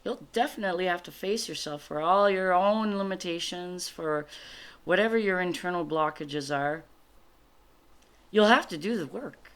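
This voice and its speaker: Low-voiced woman, native French speaker, halting delivery. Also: clean tone. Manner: halting, reflective, explains in short phrases. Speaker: spiritual card reader. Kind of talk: informal spiritual guidance, reading cards aloud and commenting.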